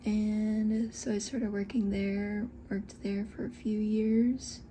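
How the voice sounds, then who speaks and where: A woman in her twenties, born in Scotland, chatting softly in a breathy half-whisper while doing her makeup at home, unhurried and full of little fillers. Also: medium pitch, flat delivery, a little hiss or hum. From Scotland, raised in Texas. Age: 20s. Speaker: esthetician and beauty vlogger. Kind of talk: soft whispered get-ready-with-me chat.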